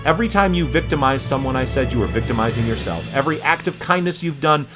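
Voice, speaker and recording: A man reading aloud the part of a calm, patient, all-knowing creator god in a short story. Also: medium pitch, quick pace, lightly acted. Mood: calm, assured. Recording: music behind the voice.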